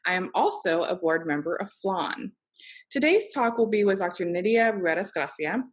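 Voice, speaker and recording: A woman's voice: on the low side, lively intonation, moderate pace. A woman in her thirties hosting an interview over a video call, formal and upbeat as she introduces her guest. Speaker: host. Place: home office, video call. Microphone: video call computer mic.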